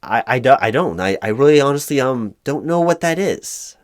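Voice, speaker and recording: A man playing a flustered, hapless corporate recruiting manager in a one-sided spoken roleplay, hesitant and stammering, with an awkwardly polite, monotonous delivery. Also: mid-range voice, normal speed, natural voice. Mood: flustered, clueless. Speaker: job interviewer.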